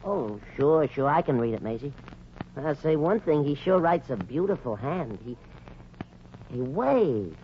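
Low voice, slow-witted loyal pal, stammering, hesitant, noisy 1940s radio comedy recording. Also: female voice, sing-song, muffled recording. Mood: puzzled.

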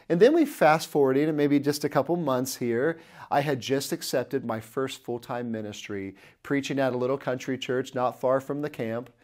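A man in his thirties delivers a sermon straight to camera with a middle-pitched voice, earnestly telling a personal story and gesturing as he speaks. He is recorded on a lapel mic in an indoor studio. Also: a little mellow. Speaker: preacher.

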